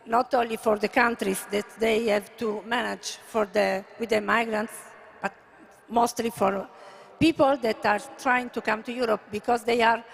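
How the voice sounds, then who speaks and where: A woman with an Italian accent moderates a conference panel. Her high voice is heavy with fry, and she feels her way through English haltingly, over faint venue noise. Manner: halting, hesitant English with repetitions.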